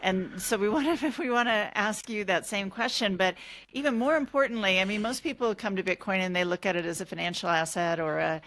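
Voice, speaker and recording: A woman's voice: mid-range voice, brisk, fairly bright tone. A woman hosting an interview, asking curious questions while thinking aloud. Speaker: podcast host. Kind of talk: conversational interview.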